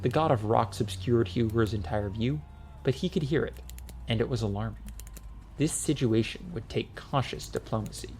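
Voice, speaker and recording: A man narrating a comic fantasy audiobook in an expressive storytelling style. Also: mid-range voice, normal speed, a little hiss or hum.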